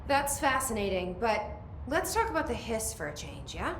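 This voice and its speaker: An actress playing a guarded, strained but patient sister in video game dialogue, speaking in a measured, restrained way. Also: female voice, big pitch swings, a bit bright. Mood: strained patience. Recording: echoey room, faint rumbling noise.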